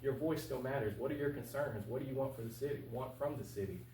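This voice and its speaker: A man's voice: medium pitch, quick pace, warm tone. A man in his twenties talking casually and earnestly as a candidate being interviewed, colloquial and emphatic, repeating points for stress. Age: 20s. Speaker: interview guest, candidate for local office. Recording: roomy, distant mic with faint hum.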